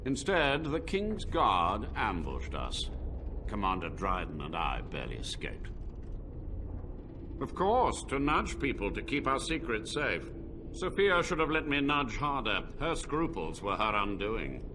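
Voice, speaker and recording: An actor playing an aged, scheming male blood mage scholar who speaks in a cold, arrogant, measured way. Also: on the low side, wildly swooping pitch, noisy background.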